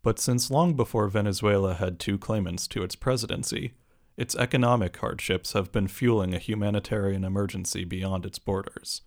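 Measured low-voiced male news reader. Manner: measured, even news read. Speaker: radio news presenter. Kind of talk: scripted news narration.

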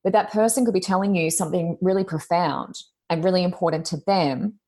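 A woman, co-hosting a casual talk podcast, speaking loudly and expressively as she reflects on her own experience. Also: fairly low pitch, a little fast. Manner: loud, expressive, self-reflective. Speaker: podcast co-host, coach. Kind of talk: casual chat.

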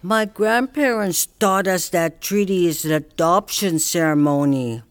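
An older woman playing a wise grandmother elder who speaks slowly and gently, telling teachings with frequent "you know". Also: fairly low pitch, lightly acted. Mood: patient. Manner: slow, gentle storytelling, many "you know". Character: wise Cree grandmother elder, calm and patient.

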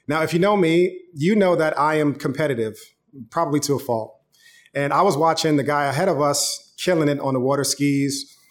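A man in his thirties, a pastor giving an online sermon in a casual, conversational way, telling personal stories. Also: a little high. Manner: casual, conversational preaching with storytelling.

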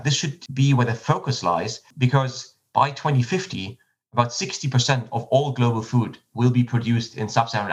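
A man from Germany giving measured, explanatory answers in a podcast interview, often restarting his phrases. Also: mid-range voice, lo-fi sound.

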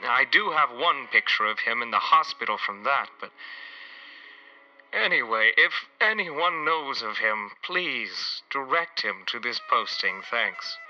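An earnest, deadpan detective from an old radio serial acted by a man, speaking in a measured monologue at a medium pitch. Music plays softly behind him, and the sound is dull and band-limited. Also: lightly acted. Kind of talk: 1940s radio play monologue.

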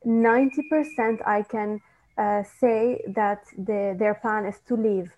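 A woman's voice: mid-range voice, steady tone, slow pace, darkish tone, lo-fi sound. A woman from Lebanon with a Lebanese accent, a native Arabic speaker, answering interview questions earnestly and with some hesitation over a video call. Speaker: student ministry coordinator.

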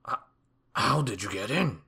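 A man voicing a rude, miserly storybook character who is blunt, exclamatory and quick to panic or plead. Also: low-pitched, gravelly, sharp tone, full character voice. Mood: shocked.

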